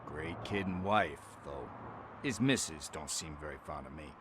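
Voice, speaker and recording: Deep-voiced glib informant. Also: male voice, normal speed, background noise. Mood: candid, wry. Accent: American. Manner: breezy, wisecracking, hesitant fillers. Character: glib, streetwise male police informant. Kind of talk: video game voice acting.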